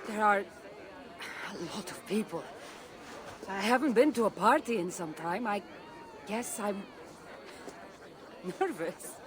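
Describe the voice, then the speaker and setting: An actress playing a guarded, solitary ancient warrior woman in a video game, with a Greek accent and a measured delivery. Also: medium pitch, lively intonation, long reverb tail, a crowd in the background. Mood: hesitant. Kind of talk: cinematic video game dialogue. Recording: clear background ambient noise.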